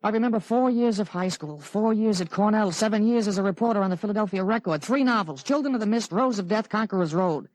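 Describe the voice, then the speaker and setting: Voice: mid-range voice, fast, few pauses, no room sound, close to an everyday voice, rough recording. An actor playing a thirteen-year-old boy with a grown man's mind, articulate and earnest, in a 1950s radio drama. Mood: earnest, insistent. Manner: articulate, earnest, matter-of-fact. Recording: vintage 1950s radio broadcast recording.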